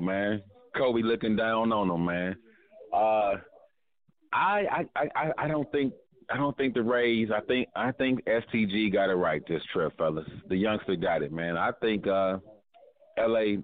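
A man on a sports talk panel giving his prediction in a relaxed way, with halting restarts. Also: on the low side. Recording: phone-line audio.

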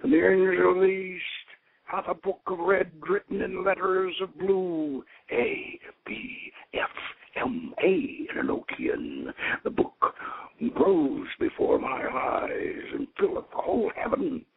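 Slow, gravelly man in solemn dramatic declamation. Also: high voice, very animated, phone-quality sound. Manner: solemn, incantatory, theatrical declaiming. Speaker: reader of an occult ritual text. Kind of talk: dramatic reading of mystical, archaic scripture.

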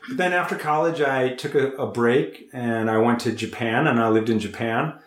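A man's voice: medium pitch, moderate pace. An upbeat, chatty American man in his forties, talking casually on a podcast. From Chicago, United States. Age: 40s. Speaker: podcast host and athlete. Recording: roomy, echoing podcast recording.

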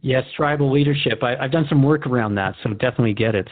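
Fast-talking male radio host, phone-like sound. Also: medium pitch, dry recording. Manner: keen, informal, recaps and asks questions. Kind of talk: conversational co-host in radio drama dialogue. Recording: dry, dull phone-like narrow sound.

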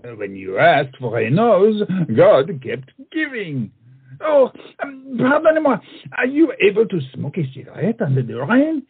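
A man's voice: high voice, huge pitch swings, unhurried, gravelly, slightly rough, heavily acted. An actor playing a pompous, witty swordsman in a comic stage play, theatrical and mocking. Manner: theatrical, mocking, peppers speech with French phrases. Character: pompous, flamboyant big-nosed French swordsman, witty and proud. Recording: muffled, tinny stage play recording.

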